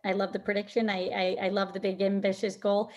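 A woman's voice: medium pitch, flat delivery, a little fast, muffled recording. A woman interviewer asking questions in an upbeat, encouraging way.

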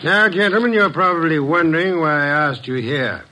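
A man's voice: on the high side, normal speed, pushed and bright, slight background noise. A man playing a pompous, irritable genius detective, speaking formally and wordily in an old-time radio drama. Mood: formal, probing. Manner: pompous, wordy, formal, sardonic.

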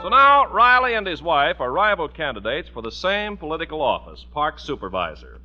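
A man's voice: on the high side, very animated, normal speed, soft background music. A man working as a radio announcer, delivering scripted commercials and narration in an emphatic, polished 1940s sales style. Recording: dull vintage radio broadcast recording.

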